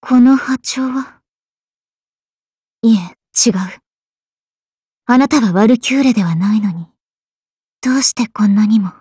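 A woman playing a calm, dutiful valkyrie in an anime game, composed and formal. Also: on the high side, a little slow, pauses often, slightly rough, no room sound, clean studio sound. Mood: puzzled. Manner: composed, polite, military formality. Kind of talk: anime mobile game voice acting.

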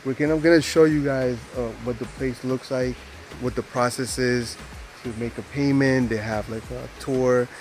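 A man in his forties talks straight to a handheld camera while walking around outdoors, loose and rambling, leaning on fillers and restarts. His voice sits at a middle pitch, and faint background noise runs under it. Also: steady tone, normal speed, darkish tone. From United States. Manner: rambling, filler-heavy, friendly aside-style talk. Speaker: travel vlogger. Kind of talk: casual vlog narration to camera. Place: outdoors at a rainforest park.

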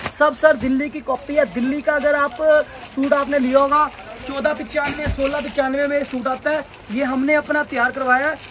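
A young man with a high voice pitches his clothing stock inside a big shop, insistently repeating his reassurances. A faint hum of ambience sits under his voice. He is recorded close on a phone camera. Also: flat delivery, moderate pace. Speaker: shop owner. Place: large indoor clothing shop.

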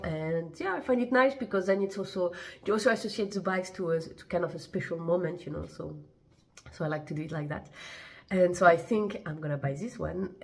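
Chatty woman with a stuffy cold voice. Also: lowish voice. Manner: chatty and hesitant, many fillers and restarts. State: congested, blocked voice from a cold. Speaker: fashion vlogger. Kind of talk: casual chat to camera. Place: living room at home, indoors.

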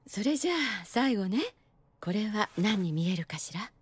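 A woman playing a composed adult nurse in anime, speaking in a gentle, coy and wistful manner. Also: medium pitch, lively intonation, moderate pace, slight background noise, close to an everyday voice. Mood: gently teasing. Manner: gentle, coy, feminine phrasing. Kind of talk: anime drama dialogue.

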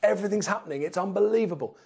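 This is an English man with a fairly high-pitched voice. He talks as an earnest interview guest, pressing each point with emphasis. He often puts rhetorical questions to make his case. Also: very fast, gravelly, slightly rough. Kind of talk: animated interview talk. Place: small indoor studio room. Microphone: lapel mic.

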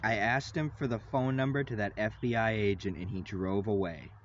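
A young man ranting loudly and rambling into his phone from inside a parked vehicle. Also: on the low side, fairly even intonation, moderate pace, muffled recording, slight background noise. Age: young adult. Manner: loud, agitated, rambling rant. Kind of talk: ranting selfie vlog. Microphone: phone at arm's length.

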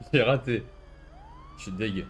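A man's voice: medium pitch, a little hiss or hum. A man giving casual, slangy gameplay commentary in a grumbling way, like a streamer. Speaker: gaming video maker, streamer.

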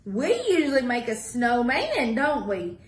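A middle-aged woman reading a picture book aloud to young children in a deliberate sing-song way. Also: fairly high pitch, big pitch swings. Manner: sing-song, deliberate, asks listeners questions. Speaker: library story time reader. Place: small indoor room with block walls. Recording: echoey small room.

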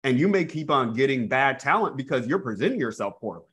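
A man's voice: mid-range voice, fast. A man in his thirties giving a live real estate coaching webinar over a video call, talking energetically and often calling out to his audience. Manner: energetic, repeats "guys", restarts phrases. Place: small room indoors. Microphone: earphone mic on video call.

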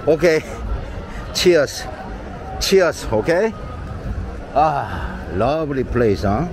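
A man's voice: on the high side, big pitch swings, moderate pace, noisy background. A man with a Korean accent leading a live walking tour, talking in short broken English phrases with a constant "huh?" to viewers, walking a busy night street. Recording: outdoor street with crowd and music. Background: street crowd and loud music.

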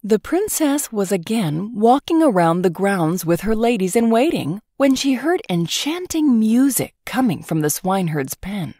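A woman narrating a children's fairy tale as an expressive, scripted storybook read-aloud. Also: mid-range voice, a little slow, hardly any pauses, dry recording, studio quality.

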